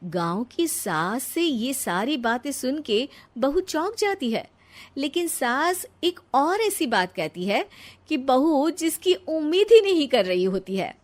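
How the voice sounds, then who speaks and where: A woman's voice: high voice, big pitch swings, slow pace. A woman narrating a scripted cartoon moral story as its storyteller.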